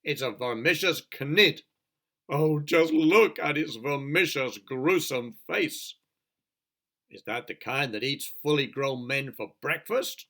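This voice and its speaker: A man's voice: medium pitch, normal speed, few pauses, pushed and bright, pitched above the performer's own voice, close to an everyday voice. A man playing a gloomy, fretting police chief. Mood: worried. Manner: worried and grumbling.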